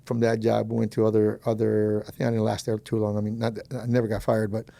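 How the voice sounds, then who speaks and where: A man's voice: fairly low pitch, monotone, quick pace, creaky, warm tone. A man in his fifties, originally from Colombia, telling his life story as a podcast guest in a rambling, self-deprecating way and laughing easily. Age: 50s. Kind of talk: casual storytelling interview.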